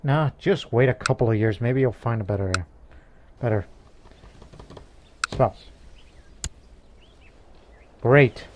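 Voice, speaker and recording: A man's voice: medium pitch, slow pace, long pauses, background noise. A man playing a strategy game, musing aloud and speaking as his ruler, with laughing asides. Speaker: game let's player. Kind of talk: casual gameplay commentary.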